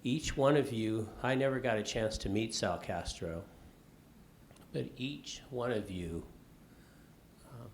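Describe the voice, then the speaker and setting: A middle-aged man, a faculty member speaking from the floor, gives loud, emphatic and heartfelt thanks to a panel. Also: medium pitch, takes it slowly, long pauses, slight background noise. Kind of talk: impromptu remarks from the floor.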